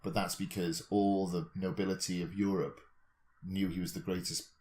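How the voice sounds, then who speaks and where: A man with a low, deep voice chats as a podcast co-host. He works through speculative ideas in a discursive way, building on examples as he goes. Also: level tone, normal speed, darkish tone, a little hiss or hum. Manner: discursive, reasoning aloud with examples.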